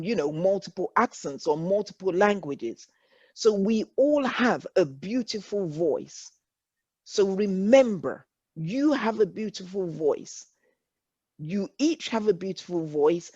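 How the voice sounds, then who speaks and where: An English woman leads an online workshop, speaking earnestly and circling back to her reassurances. Her voice sits at a middle pitch. The call audio is dull and lo-fi, with a dry sound. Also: a little mellow, no room sound. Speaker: workshop facilitator. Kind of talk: encouraging workshop talk.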